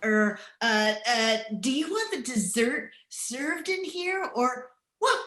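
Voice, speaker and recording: A woman's voice: high voice, expressive, takes it slowly, fairly bright tone, reverberant, full character voice. A woman playing an artless, chatty household maid in an old-time radio comedy. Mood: artless, curious. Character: scatterbrained, chatty, plain-spoken household maid.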